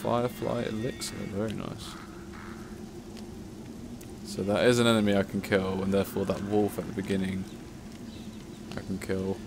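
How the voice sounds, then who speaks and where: A man's voice: low voice, monotone, moderate pace, gravelly, long pauses. Self-mocking man commentating a game. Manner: chatty, self-mocking, swears at himself. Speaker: let's play commentator. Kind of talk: casual gameplay commentary. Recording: game music playing behind.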